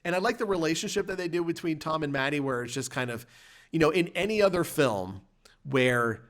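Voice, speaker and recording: Man casually talking movies, halting and rambling. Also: on the high side, animated, fairly bright tone. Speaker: podcast host. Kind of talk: casual film discussion, recapping and reviewing scenes.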